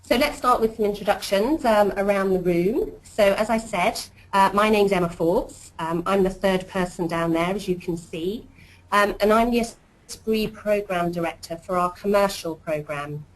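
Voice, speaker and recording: A woman hosts a corporate webinar, talking in a warm, chatty way. She often fills her pauses with ums and uhs, and her voice sits at a middle pitch. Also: poor audio. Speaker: webinar host, programme director.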